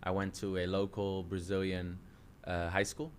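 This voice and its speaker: A Dutch man in his early thirties, speaking English with a Dutch accent, relaxed and chatty as a coach telling his story in an interview. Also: sits low, moderate pace, slight background noise. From Netherlands. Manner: relaxed, chatty, many fillers and self-restarts. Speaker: jiu-jitsu coach and interview guest.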